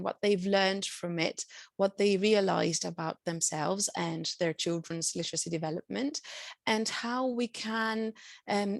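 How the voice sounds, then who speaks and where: A woman whose native language is Greek, a university lecturer giving a measured, explanatory presentation over a video call. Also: mid-range voice, vocal fry, no room sound. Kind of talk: online presentation.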